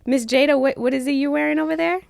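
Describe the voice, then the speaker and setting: A woman's voice: sits high, fast, gravelly, no room sound. A woman hosting a casual interview, asking questions and laughing along with her guest. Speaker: host, interviewer.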